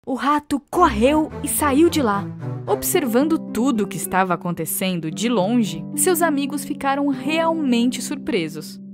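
A woman's voice: sits high, animated, a little slow. A woman narrating a scripted children's story. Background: music.

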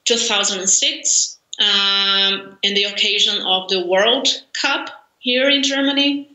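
Slow-speaking Brazilian woman on a video call. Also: mid-range voice, fairly even intonation, sharp tone. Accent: Brazilian Portuguese. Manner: thoughtful, laughs often, many fillers. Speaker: interview guest, language learner. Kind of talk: conversational interview. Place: home room, indoors. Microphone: video call webcam. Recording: roomy video call audio.

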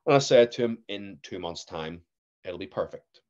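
A young man with a Northern Irish accent, a guest actor telling stories in a casual podcast chat, animated and laughing often. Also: sits low, moderate pace, dull audio. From Northern Ireland.

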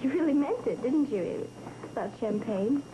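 A woman's voice: sits high, expressive, normal speed, noisy background. A young woman playing a teasing, wary but hopeful sweetheart in a TV soap, with a coy, questioning manner. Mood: coy, pleased. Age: young adult. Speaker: soap opera actress. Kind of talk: television soap opera dialogue. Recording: worn VHS tape, loud music behind.

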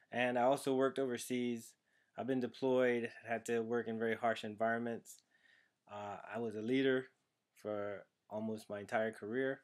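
A man from Trinidad and Tobago talks through his student video essay in an earnest, steady way, partly reading, with an occasional "uh". His voice sits in a middle pitch for a man. He records on a built-in webcam in a small room at home. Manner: earnest, steady, partly read, with some "uh" fillers. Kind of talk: prepared personal presentation. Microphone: built-in webcam microphone, close framing.

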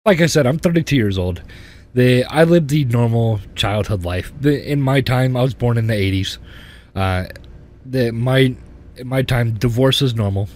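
Halting, rambling man in his thirties. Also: mid-range voice, a little mellow. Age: 30s. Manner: rambling, halting with many restarts. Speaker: podcast host. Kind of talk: casual personal storytelling.

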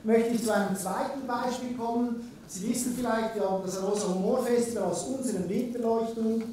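A man's voice: very high-pitched, fairly even intonation, a little fast, mellow, far from the mic, a little hiss or hum. A man with a Swiss German accent giving a conference keynote in a conversational way, with frequent filler sounds. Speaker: keynote speaker. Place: large conference hall. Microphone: distant room recording. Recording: echoey hall, from afar.

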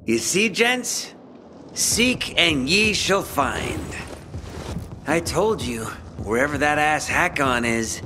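An actor playing a cocky, vengeful young gang thug in a video game, taunting and mocking with menace. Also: male voice, on the high side, animated, takes it slowly, pushed and bright, a little hiss or hum, full character voice. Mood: smug taunting. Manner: taunting, mocking, foul-mouthed. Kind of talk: dramatic video game acting.

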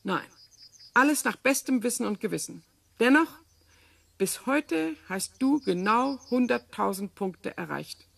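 Husky, swooping genteel wife. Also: female voice, a little high, animated, unhurried, a bit bright, no echo, full character voice. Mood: cool, precise. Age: middle-aged or older. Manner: precise, formal. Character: genteel, coolly calculating wife and mother. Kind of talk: radio drama acting.

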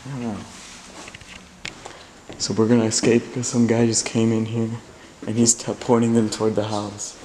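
Young guy with a lowish voice narrating an abandoned house walkthrough, chatty, echo bouncing off bare walls, lots of hiss. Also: monotone, moderate pace, long pauses. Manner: chatty, guessing aloud at rooms, drops to hushed tones when nervous. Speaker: urban explorer filming. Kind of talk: casual exploring commentary. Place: empty abandoned house, hard bare rooms. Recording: echoey empty rooms, loud noise.

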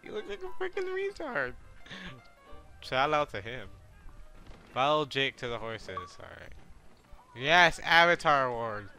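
A young man giving crude, excitable commentary while playing a game. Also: mid-range voice, unhurried, pauses often, soft background music. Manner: crude, excitable, laughs often. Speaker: gamer.

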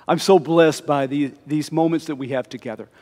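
Middle-aged pastor preaching in a reverberant sanctuary. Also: male voice, a little high, moderate pace. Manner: expressive, conversational preaching with wide gestures. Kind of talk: sermon. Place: church sanctuary before the altar. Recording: long reverb of a church sanctuary.

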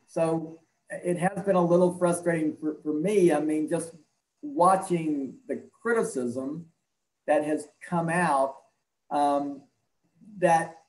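A man in his sixties giving measured, halting answers as an interview guest over a video call from an indoor room. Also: a little high, a little mellow, reverberant, rough recording. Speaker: interview guest, health clinic leader and doctor.

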